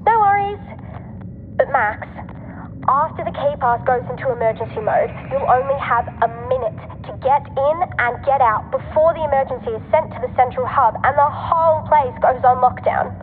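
A woman's voice: sits high, normal speed, phone-quality sound, faint music behind. A woman playing a cheeky, scatterbrained girl tech helper in a sci-fi audio drama, speaking playfully through a radio intercom filter. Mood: helpful, brisk. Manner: playful, flippant. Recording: thin radio line, noisy, long reverb.

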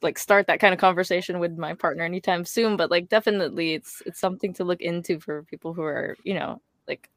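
A woman's voice: lowish voice, steady tone, fast, hardly any pauses, no room sound. A woman hosting a podcast, easygoing and thoughtful in casual chat, laughing often. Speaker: podcast host.

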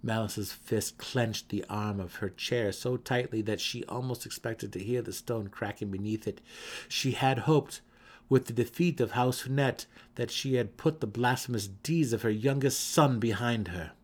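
A man giving a dramatic, theatrical reading of a fantasy novel as an audiobook narrator, with measured phrasing. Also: mid-range voice.

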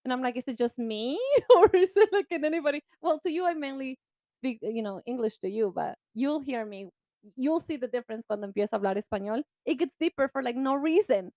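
A woman podcast co-host with a Spanish-speaking accent and a slightly high voice. She chats in a lively way, laughs a lot, and slips into Spanish. The recording is close and dry. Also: quick pace, no room sound. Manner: lively, laughing, bilingual code-switching. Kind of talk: casual chat.

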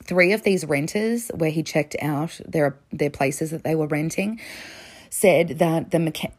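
A woman's voice: deep voice, darkish tone. A young woman casually recounting a true crime case in a chatty, conversational way, with frequent fillers.

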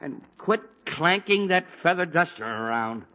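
A sarcastic, aching dad. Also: male voice, fairly high pitch, expressive, a little slow. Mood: grumpy. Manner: sarcastic, pained groaning. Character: grumpy middle-aged father with a headache. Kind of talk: 1940s radio acting. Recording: dull old radio broadcast with faint hiss.

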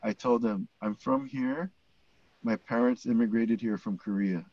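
A man from the United States co-hosting a podcast, talking casually and haltingly with many fillers. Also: mid-range voice, darkish tone, dry recording, highs cut off. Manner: casual, halting, lots of fillers like "you know". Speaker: podcast co-host.

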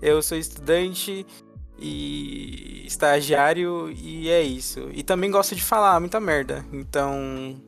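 A young man in his twenties hosts a loose, joking podcast chat and rambles on. His voice sits a little on the high side. Faint music plays underneath. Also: big pitch swings, takes it slowly, gravelly, fairly bright tone. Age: 20s. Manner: rambling, joking, laughs easily. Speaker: podcast host. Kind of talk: casual chat.

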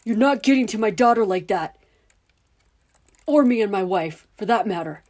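A woman voicing an angry, protective middle-aged father who shouts defiantly. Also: mid-range voice, fairly even intonation, moderate pace, husky, lightly acted. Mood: furious.

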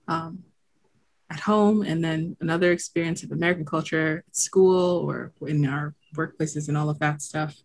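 A young woman from Haiti with no trace of an accent, talking reflectively as a podcast guest, with many "like" fillers and frequent laughter. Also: fairly low pitch. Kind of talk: casual conversation.

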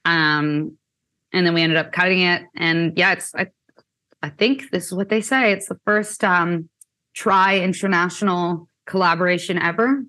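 A young woman from Canada talks about her music in a relaxed interview. Her voice sits a little low, and she fills her talk with "like" and "um" as she rambles along. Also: unhurried. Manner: chatty, many fillers like "like" and "um". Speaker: musician guest. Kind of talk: casual interview chat.

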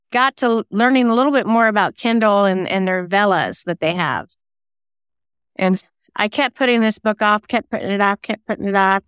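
Female interview guest, very clean smooth voice, chatty, dry close sound. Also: medium pitch, fairly bright tone, dry recording. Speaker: interview guest, singer-songwriter and novelist. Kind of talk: casual interview chat.

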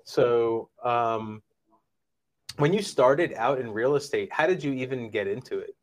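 A man co-hosts a casual podcast, sounding enthusiastic and full of praise for the guest. He speaks at an ordinary, middle pitch. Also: measured pace, dry recording. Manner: enthusiastic and complimentary. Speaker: podcast co-host.

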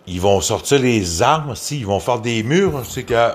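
A man's voice: medium pitch, animated, measured pace. A man from Quebec with a Québécois accent, giving a casual, rambling rant in a crude, profane, hesitant way. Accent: Québécois French.

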